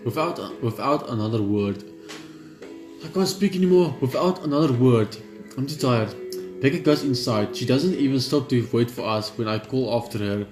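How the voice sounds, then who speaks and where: A man reading a horror visual novel aloud in the first person, steady but often stumbling and restarting lines. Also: mid-range voice, moderate pace. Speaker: gaming channel host. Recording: roomy room with loud game music.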